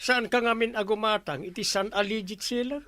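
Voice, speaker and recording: An actor plays a sceptical village captain with an Ilocano accent in a radio comedy. The voice is mid-pitched, lecturing and scolding, in a dry studio. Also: fairly even intonation, fairly quick, pushed and bright, dry recording, lightly acted.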